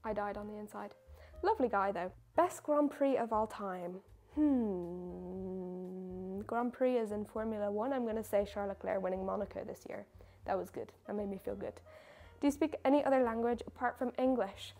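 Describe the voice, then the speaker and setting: A woman's voice: mid-range voice, moderate pace, warm tone, faint music behind. A young woman, a baker, answering viewer questions in a chatty, self-mocking way. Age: young adult. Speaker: baker and video creator. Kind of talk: casual question-and-answer chat.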